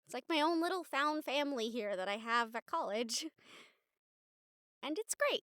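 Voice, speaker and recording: A woman plays an excitable young college girl with a very high, bright voice. She talks straight to the listener in a chatty, giggly, earnest way, as in an intimate audio roleplay. The recording is close and dry. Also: moderate pace, no room sound. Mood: earnest, grateful. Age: young adult. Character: excitable, sweet young college girl, a medium befriending a ghost.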